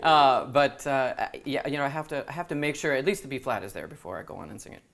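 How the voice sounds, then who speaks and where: A man's voice: mid-range voice, quick pace, creaky, fairly bright tone. Hesitant, laughing man from San Diego. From San Diego, USA. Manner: hesitant, laughs often, many fillers. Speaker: guest singer. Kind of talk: casual interview chat. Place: small studio with curtains.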